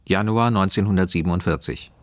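An actor playing a formal announcer who reads out files in a radio play, clipped, official and deliberate. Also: male voice, deep voice, dry recording, lightly acted. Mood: official, detached. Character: formal male announcer reading dossiers. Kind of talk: scripted radio play narration.

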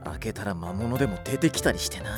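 A man's voice: lowish voice, lively intonation, fast talker, over music, lightly acted. A man playing a sensible, wry teenage schoolboy, blunt and exasperated, in an anime audio drama. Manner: dry, blunt teasing.

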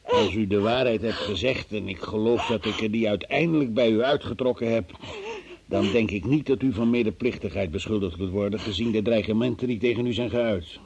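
An actor playing a forceful police investigator in a radio drama, formal, probing and theatrical in his delivery, with a husky voice. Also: fairly low pitch, a little fast, lightly acted. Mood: measured, dry. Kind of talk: Dutch radio drama acting.

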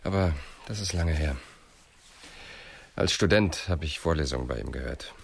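An educated detective in a radio crime play. His voice is very low and lively in pitch, with a dry, probing manner and faint noise behind it. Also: moderate pace, vocal fry, heavily acted. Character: educated male police investigator, probing and matter-of-fact. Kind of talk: radio crime drama dialogue.

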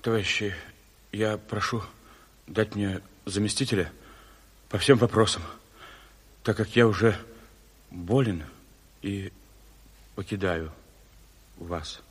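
An actor playing a sick, worn-out, somber committee member speaking haltingly in a radio play. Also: male voice, fairly low pitch, steady tone, husky. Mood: weary, resigned. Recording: reverberant room.

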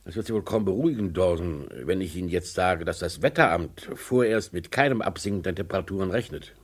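An authoritative, distrustful company boss in a radio play. The voice is deep and rather husky, and the delivery is deliberate and commanding. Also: male voice. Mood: commanding, cautious. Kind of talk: old German radio crime play.

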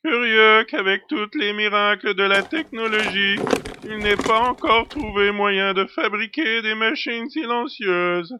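An actor playing an excitable, long-winded factory director in an audio drama, with gushing, formal manners. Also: male voice, high-pitched, a little slow, slight background noise, exaggerated voice. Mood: polite, flustered. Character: effusive, rambling, excitable male factory director. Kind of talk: French audio drama acting.

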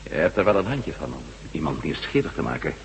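An actor playing an inquisitive, self-assured reporter in a radio drama, brisk and questioning with a sardonic edge. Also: male voice, rumbling low, big pitch swings, a little hiss or hum, heavily acted. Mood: sceptical, irritated. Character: inquisitive male ex-astronaut reporter, self-assured, bold, wry. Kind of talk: Dutch radio drama acting.

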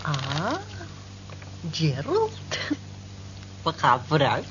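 A woman's voice: low-pitched, wildly swooping pitch, drawn-out words, full character voice. An actress playing a nosy, teasing married cleaning woman on the night shift in a Dutch radio drama. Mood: chatty. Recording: dull lo-fi old radio recording, faint noise.

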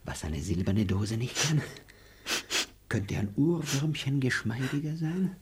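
A man's voice: mid-range voice, slow pace, a little mellow, lightly acted. An actor playing a boastful, stingy male bailiff who mocks a maid and mutters asides in a radio play. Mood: smug. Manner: smug, mocking, muttering asides.

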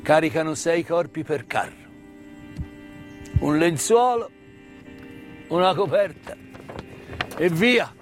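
A man delivers a theatrical narrative monologue as a stage storyteller, solemn and dramatic, with repeated words and weighty pauses. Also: on the high side, animated, soft background music. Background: live musical accompaniment.

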